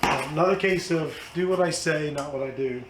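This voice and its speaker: Hoarse man, slightly high voice, echoey room, background noise. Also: brisk. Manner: chatty, self-deprecating, many fillers. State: hoarse, losing his voice. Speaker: car channel host. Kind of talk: casual unboxing vlog. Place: outdoors then indoors at home.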